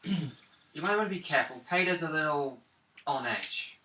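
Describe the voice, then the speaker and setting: A man's voice: on the high side, animated, normal speed, far from the mic. A man playing a tabletop roleplay game, speaking in casual chat. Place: indoor room at gaming table. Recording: roomy echo, faint noise.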